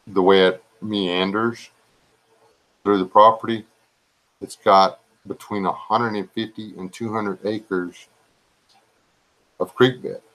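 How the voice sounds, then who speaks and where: A man with a drawl chats with his live stream viewers, wandering from one story to the next. His voice sits fairly low and moves slowly and evenly, so calm that it can sound either soothing or dull. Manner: slow, drawling, low-key voice that viewers call relaxing or boring. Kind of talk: rambling casual live chat.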